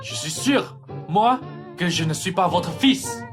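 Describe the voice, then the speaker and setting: A woman's voice: lowish voice, expressive, slow pace, over music. A young woman playing an earnest, stubborn young male thief in a French radio farce, blunt and protesting. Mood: flustered. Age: young adult. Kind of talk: French stage farce performed as student radio play.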